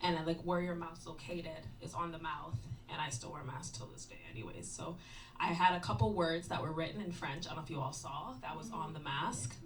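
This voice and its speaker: A woman's voice: low voice, a little fast, a little hiss or hum. A woman dancer answering audience questions in a casual, candid, rambling way. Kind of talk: post-show talkback. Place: performance venue. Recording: distant mic, faint ambience.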